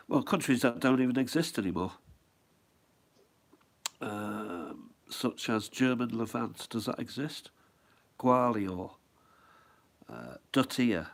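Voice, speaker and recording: Congested, gravelly middle-aged man musing. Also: lowish voice. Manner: rambling, musing aloud, asks himself questions. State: congested with a head cold. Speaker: stamp collector showing his album. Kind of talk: casual show-and-tell.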